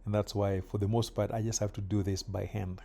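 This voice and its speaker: A middle-aged man from Kenya chatting casually while doing yard work outdoors, with relaxed pauses and fillers. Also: fairly low pitch, gravelly, a little hiss or hum. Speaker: vlogger.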